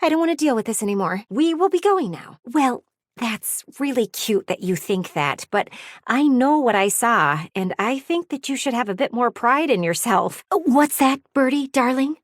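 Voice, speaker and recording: A woman's voice: fairly high pitch, animated, normal speed, no echo, studio quality. A woman voicing a nosy, prim, easily offended lady window in a video game, with a theatrical, gushing and condescending manner. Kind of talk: animated video game character acting.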